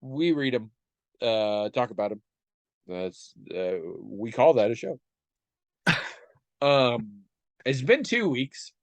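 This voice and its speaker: A man's voice: medium pitch, sing-song, slow, pauses often, dry recording. A man in his forties co-hosting a podcast. He is stuffed up and talks in a rambling, self-deprecating way with many restarts. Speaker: podcast co-host. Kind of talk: casual chat.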